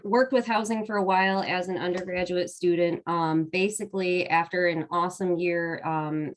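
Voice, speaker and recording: A young woman speaks in a low, stuffed-up voice, congested from a cold. She tells her story in a chatty panel style, with plenty of ums and quick laughs. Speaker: webinar panelist. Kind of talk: personal story told on a panel.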